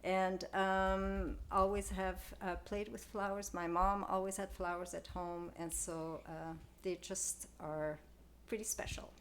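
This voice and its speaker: An older woman talks through her answers softly, pausing often with little fillers. Her voice sits fairly low. A faint hiss of noise lies under the recording. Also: little pitch movement. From Belgium. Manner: soft-spoken, hesitant with many fillers. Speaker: guest floral designer. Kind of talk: casual interview.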